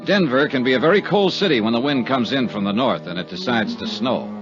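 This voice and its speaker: An American actor playing a stubborn, sardonic investigator in a 1950s radio detective drama, with a wry, clipped, hard-boiled delivery. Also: male voice, medium pitch, lively intonation, fairly quick, close to an everyday voice. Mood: wry, brooding. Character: stubborn, sardonic male insurance investigator narrating his case. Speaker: lead actor and narrator. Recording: dull 1950s radio recording with music.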